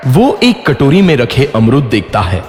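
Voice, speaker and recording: A man's voice: medium pitch, very animated, normal speed, a little mellow. A man reading scripted storybook narration for a children's cartoon. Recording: echoey, long reverb, faint music behind. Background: faint music.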